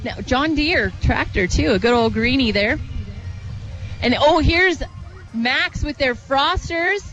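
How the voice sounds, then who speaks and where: A woman's voice: high-pitched, expressive, slow pace, fairly bright tone, highs cut off, soft background music. A woman with a Canadian accent, giving chatty, exclaiming live parade commentary as a radio co-host. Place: outdoors at a street parade.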